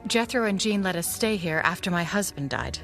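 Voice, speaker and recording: An actress playing a composed, guarded widowed mother in an audio drama, speaking in a measured, conversational way. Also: fairly low pitch, expressive, a bit bright, soft background music.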